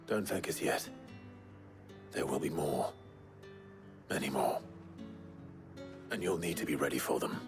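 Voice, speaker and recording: Heroic swordsman: deep, terse, brooding, resolute, fantasy game drama. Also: unhurried, long pauses, music in the background. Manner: terse, grave, understated. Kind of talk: video game dramatic acting.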